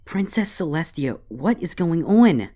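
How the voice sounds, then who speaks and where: A man's voice: a little high, very animated, unhurried, warm tone, voice pushed up. A man voicing an anxious, earnest young female unicorn pony with urgent, pleading delivery in a dramatic story reading. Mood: fearful, demanding. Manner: urgent, exclamatory. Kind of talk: dramatic fan fiction reading.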